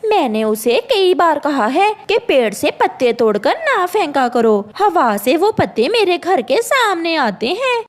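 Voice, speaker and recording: A woman's voice: extremely high pitch, very animated, unhurried, few pauses, full character voice. A woman voicing a quarrelsome, complaining female crow wife in a cartoon, with a whiny manner. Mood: indignant. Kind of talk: Hindi cartoon dialogue.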